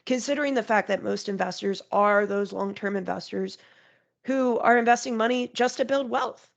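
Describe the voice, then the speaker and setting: Woman, market strategist guest, nasal from a cold, heavy vocal fry, clear and conversational. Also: mid-range voice, moderate pace, dull audio. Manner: explains in clear plain terms, lists points. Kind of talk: conversational podcast interview.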